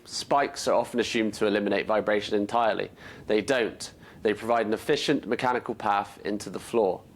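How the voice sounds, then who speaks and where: Man, fairly low voice, company presenter, scripted explainer narration, measured delivery.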